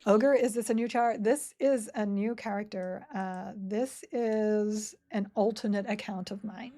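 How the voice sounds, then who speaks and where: Chatty woman streamer with a mellow voice. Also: mid-range voice, fairly even intonation, a little slow. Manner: chatty, rambling, giggly, reads chat aloud. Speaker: game streamer. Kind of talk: casual live-stream chat while playing.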